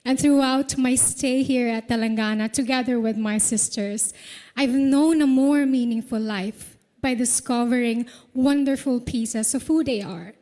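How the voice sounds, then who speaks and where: A woman from the Philippines gives a formal prepared answer. Her voice is fairly high with a darker, mellow tone. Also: measured pace. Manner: formal, greets in several languages. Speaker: pageant contestant.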